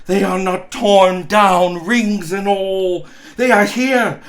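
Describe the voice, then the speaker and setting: A man's voice: sits high, fairly even intonation, slow. A man voicing an overjoyed, newly reformed old miser in a storybook reading, exuberant and stammering with exclamations. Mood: relieved, excited.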